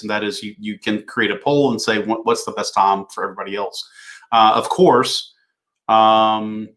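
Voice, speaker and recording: Easygoing man hosting a live Q&A. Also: on the low side. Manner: relaxed, thinking aloud with fillers. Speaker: host. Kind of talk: casual livestream Q&A coaching.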